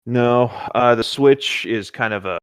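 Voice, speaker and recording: A man's voice: mid-range voice, a little slow, a bit bright, clean tone, no room sound. A man casually reading a story aloud as co-host, playful and jokey, breaking into chatty banter. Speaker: co-host reading and riffing on internet horror stories.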